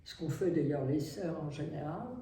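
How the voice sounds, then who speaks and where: An older woman from France, a Carmelite nun, speaking in a halting, wry way with many restarts. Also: low voice, mellow. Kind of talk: interview testimony. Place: nursery building in Syria. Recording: echoey room, distant mic, faint noise.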